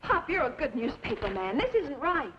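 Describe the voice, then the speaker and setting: A woman's voice: high voice, very animated, moderate pace, a bit bright. An actress playing an earnest, outspoken frontier newspaperman's daughter in an old western drama. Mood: indignant, pleading. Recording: dull old soundtrack, faint hiss.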